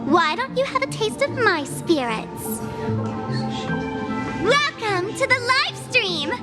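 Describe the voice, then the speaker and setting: Very high-pitched, manic trickster girl. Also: big pitch swings, slow pace, full character voice. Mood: taunting, showy. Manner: theatrical, taunting, playful. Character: mischievous, mocking young female masked trickster, manic and defiant. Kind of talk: scripted video game voice acting. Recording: loud game music behind voice.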